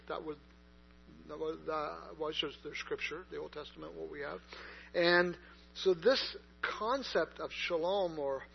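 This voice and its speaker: A man's voice: fairly high pitch, gravelly. A man preaching a sermon in a conversational way, with many fillers and restarts. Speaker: pastor. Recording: dull lo-fi recording with mains hum.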